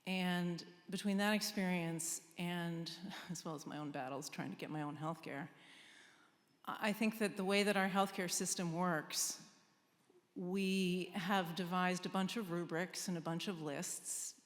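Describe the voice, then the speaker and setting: Candid low-voiced woman in an echoey hall. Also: steady tone, measured pace. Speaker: teacher speaking from the audience. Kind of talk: spontaneous personal comment.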